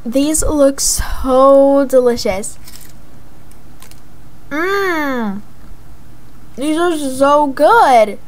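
A young woman voicing a chatty, excitable young mother in a gaming roleplay vlog, gushing and drawing out words for emphasis. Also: high-pitched, extremely slow, pauses often, exaggerated voice. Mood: eager. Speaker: video creator voicing her game character. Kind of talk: voiced life-simulation roleplay vlog narration. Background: background music.

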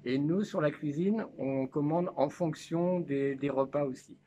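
A man in his fifties, a school canteen cook, calmly explaining his work to children with plenty of fillers, recorded on a camera nearby in a kitchen. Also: a little high, a little slow, a little hiss or hum. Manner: plain, patient, with many fillers. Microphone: camera held by children nearby.

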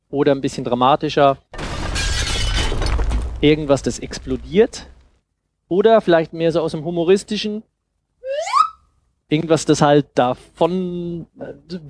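Higher-voiced man giving an informal talk. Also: a little mellow, a little hiss or hum. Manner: explanatory, conversational. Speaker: festival speaker, sound designer. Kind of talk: informal lecture with audience questions. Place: indoor festival stage with couch. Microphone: headset.

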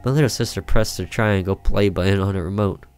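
A man's voice: on the low side, a little fast, a little mellow, smooth, no echo, faint music behind. A man reading a horror visual novel aloud as a gaming video narration, in a storytelling style.

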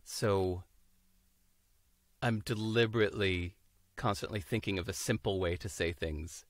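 A man's voice: low voice, a little slow, very noisy. Thoughtful American man on a video call. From United States. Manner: thoughtful, searching for words, laughs easily. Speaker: guest. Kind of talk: casual interview chat. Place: home room, indoors.

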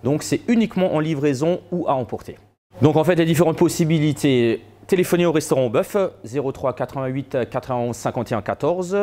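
A man's voice: fairly high pitch, warm tone. A man in his forties, a restaurant owner, plainly listing menus and delivery options in a local TV interview outdoors. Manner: listing menu items and phone details plainly.

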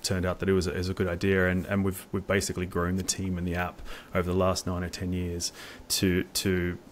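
A man's voice: low-pitched, completely flat delivery, brisk, a little hiss or hum. A middle-aged man talking as an engineer in an informal technical interview on a video call, often repeating words. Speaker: staff software engineer, interview guest. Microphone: video call, wireless earbuds.

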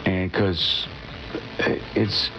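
A man's voice: deep voice, steady tone, drawn-out words, pushed and bright. A man in casual conversation on AM radio. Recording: dull AM radio reception with music.

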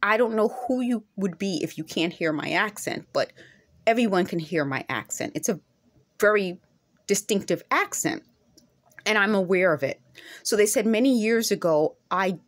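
A middle-aged woman talking straight to her phone camera at home, earnest and insistent, returning again and again to her points. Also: mid-range voice. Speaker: vlogger. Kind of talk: direct-to-camera monologue. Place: indoor room at home. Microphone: phone camera held close.

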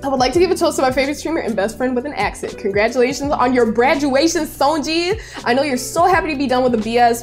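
A high-voiced young woman from the Chicago area streams from her bedroom setup and talks through viewer messages. She is bubbly and effusive and often squeals with excitement. Soft music plays faintly underneath. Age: 20s. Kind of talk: casual live-stream chat reading messages.

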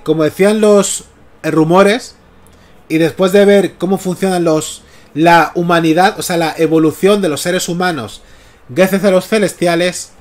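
A man in his thirties with a Canarian Spanish accent, chatting casually on a comics livestream through a headset mic in a small room at home. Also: high voice. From Canary Islands. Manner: chatty, often checks in with "¿vale?". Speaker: comics streamer. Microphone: gaming headset mic.

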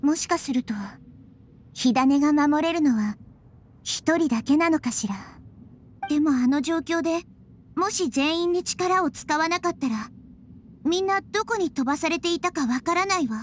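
A woman voicing a young girl goddess, calm, gentle and wise, who speaks softly and with composure. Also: sits high, normal speed, a little hiss or hum, close to an everyday voice. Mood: thoughtful. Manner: soft, composed, reassuring. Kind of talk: Japanese game voice acting. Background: game music.

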